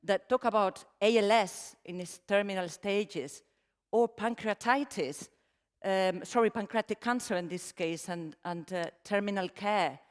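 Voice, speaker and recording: A middle-aged woman with a Spanish accent presents a talk to a conference audience. Her speech is warm and self-deprecating, full of ums and restarts. The long reverb of a large hall trails behind her words. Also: mid-range voice, a little slow. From Spain. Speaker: conference speaker. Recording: reverberant hall, stage mic.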